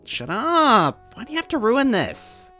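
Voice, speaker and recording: A man's voice: extremely high pitch, huge pitch swings, a little slow, pushed and bright, faint music behind, pitched above the performer's own voice, full character voice. A man voicing a fussy, scolding little female toad who is easily flustered, in a story reading. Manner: indignant, scolding.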